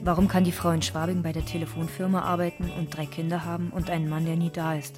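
An actress playing a guarded, bitter migrant woman with a husky voice, speaking in short insistent phrases in a monologue. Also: lowish voice, level tone, quick pace, loud music behind the voice, close to an everyday voice. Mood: bitter, wounded. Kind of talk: stage-like monologue in audio drama.

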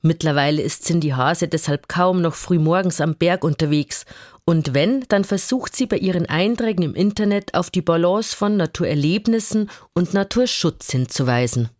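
A woman reading a scripted radio report in a calm, measured way. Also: fairly low pitch, no echo, clean studio sound.

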